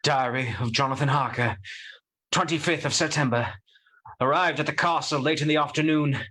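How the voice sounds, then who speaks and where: An actor playing an earnest, desperate young vampire fighter in a radio play, urgent and dramatic. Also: male voice, a little high, moderate pace, bright, forceful tone, poor audio. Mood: grim, determined.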